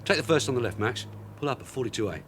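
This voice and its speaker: An actor playing a shrewd, middle-aged police sergeant in a radio drama, dry, sarcastic and bossy. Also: male voice, medium pitch, sing-song, racing, fairly bright tone, slight background noise. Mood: dry, commanding. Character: shrewd, sardonic veteran London detective sergeant. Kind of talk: naturalistic radio drama acting.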